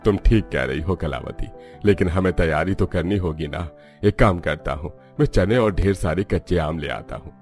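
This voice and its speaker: Deep-voiced village grandfather, acted by a man. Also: normal speed, a little mellow, faint music behind, close to an everyday voice. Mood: practical. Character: practical, agreeable elderly village grandfather.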